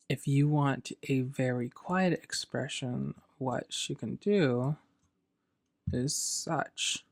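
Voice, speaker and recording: A man hosting a software tutorial in a casual, loosely explanatory, informal way. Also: medium pitch, gravelly, dry recording, clean studio sound. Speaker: tutorial host.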